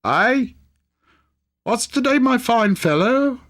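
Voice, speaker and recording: A man's voice: very high-pitched, lively intonation, takes it slowly, fairly bright tone, pitched above the performer's own voice, heavily acted. A man voicing an old, repentant miser in an audiobook, stammering and repeating himself in breathless exclamations. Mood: giddy delight. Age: older.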